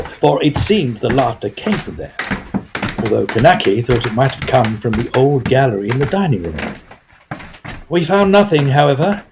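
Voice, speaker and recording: A man playing an earnest gentleman ghost hunter who narrates a period Gothic audio drama in formal, old-fashioned phrases. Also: mid-range voice, background noise. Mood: wry, curious.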